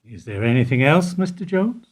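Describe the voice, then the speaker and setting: Guy acting older bank employee in a radio play, cagey and careful, rough husky voice, pitch moves around a lot. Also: very animated. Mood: guarded, evasive. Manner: guarded, deliberate, evasive. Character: evasive older male bank foyer employee under questioning.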